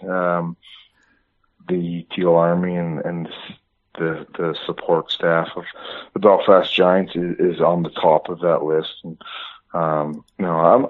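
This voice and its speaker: A man, a hockey coach, being interviewed over the phone, talking in long rambling runs with many restarts. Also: low voice. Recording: tinny phone line.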